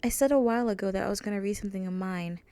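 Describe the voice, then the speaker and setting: A woman's voice: medium pitch, rapid-fire, warm tone, clean tone, dry recording. A woman reading out her own fan fiction as a scripted storybook narration.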